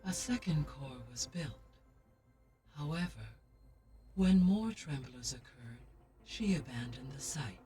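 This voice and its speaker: A woman voicing a precise, calm AI intelligence in a video game, speaking in a measured, clinical way, heard from a distance with faint music behind. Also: low-pitched.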